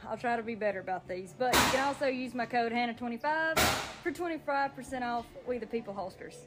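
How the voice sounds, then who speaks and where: A woman's voice: a little high, fairly quick, loud music behind the voice. A young woman with a Southern American accent giving a casual, easygoing update into a phone held at arm's length, outdoors at a house being built. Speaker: social media creator. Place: outdoors at a house under construction.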